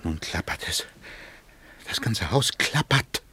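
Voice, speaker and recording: An actor playing a guilt-ridden, hallucinating murderer who repeats himself obsessively in a poetic radio drama. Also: male voice, low-pitched, expressive, slow, pushed and bright, breathy edge, heavily acted. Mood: haunted, fearful. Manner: obsessive repetition, swinging from whispering to crying out. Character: guilt-ridden child murderer, tormented, hallucinating, crumbling in terror.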